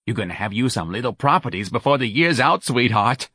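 A man's voice: mid-range voice, lively intonation, brisk, bright, forceful tone, slightly rough, no echo, studio quality, full character voice. A man voicing a pushy, scheming middle-aged woman from New who talks in a brassy, bossy way. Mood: eager.

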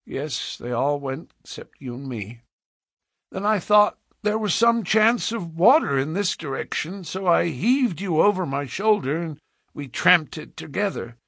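A man voicing a weary, hardened adventurer who speaks gruffly in plain, rustic frontier speech, as in a storybook. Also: lively intonation, measured pace, a bit bright, no room sound, studio quality, exaggerated voice. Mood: resigned. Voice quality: deep, harsh voice.

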